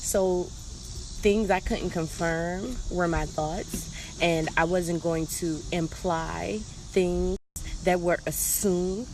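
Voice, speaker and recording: A young woman with a slightly low voice chats casually as she shares a personal story. She is candid and slangy, often repeating phrases for emphasis. There is faint noise behind her voice. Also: low-quality recording. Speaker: storytelling vlogger.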